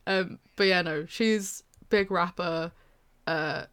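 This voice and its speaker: A woman's voice: fairly low pitch, takes it slowly, a bit bright, clean tone. A young British woman chatting casually with a co-host, hesitant, with many fillers and restarts. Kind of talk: casual chat.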